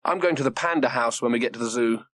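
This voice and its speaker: A man's voice: medium pitch, quick pace, fairly bright tone, dry recording, natural voice. A man voicing a frank, eager young girl in a children's story. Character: forthright, eager young girl, the boy's friend.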